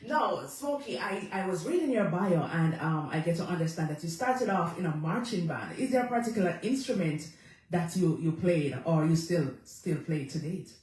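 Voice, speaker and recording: A man hosting a relaxed radio interview, chatty and quick to laugh, repeating his guest's answers back. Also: fairly high pitch. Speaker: radio show host. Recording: echoey room, distant from the mic.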